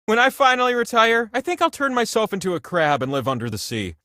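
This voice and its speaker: A man's voice: high voice, animated, quick pace, pushed and bright, dry recording, clean studio sound. A man voicing a chatty, vain living wheel that hosts a comic video game show in a theatrical, quirky way. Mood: chatty, whimsical. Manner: theatrical, quirky, playfully self-important. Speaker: game host.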